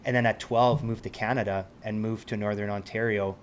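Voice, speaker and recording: Interview guest: man from Switzerland, lowish voice, thoughtful, stops and restarts, faint room hum. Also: steady tone, brisk. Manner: reflective, searches for words, frequent restarts. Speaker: interview guest, helicopter pilot. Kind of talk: casual conversation.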